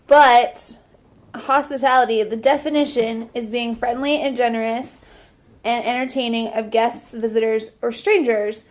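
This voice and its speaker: A woman's voice: fairly high pitch, fairly even intonation, a little slow. A woman, a staff member, joining a casual podcast and explaining a definition matter-of-factly.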